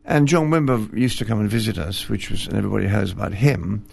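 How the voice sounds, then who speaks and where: An older man from Scotland, a retired bishop, talking gently and self-deprecatingly as a studio interview guest, with fillers and pauses. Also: fairly low pitch, creaky, husky. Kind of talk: relaxed interview conversation. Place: television studio.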